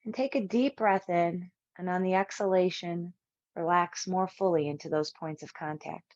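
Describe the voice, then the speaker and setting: Lowish voice woman doing yoga nidra instructions, soothing n measured w/ long pauses, kinda muffled dry recording. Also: darkish tone, no room sound. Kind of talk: guided relaxation meditation, spoken instructions.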